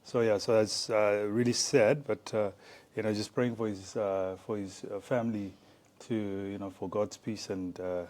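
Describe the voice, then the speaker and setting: Guest, male, 40s, from Zimbabwe; lower voice, relaxed and searching for words. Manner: halting, many fillers and restarts. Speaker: guest, former rugby player. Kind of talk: casual interview chat.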